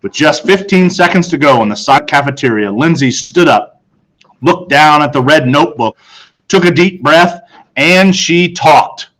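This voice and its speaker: A man reading a children's story as expressive scripted narration, dramatic and with deliberate pauses. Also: on the high side, poor audio. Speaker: audiobook narrator.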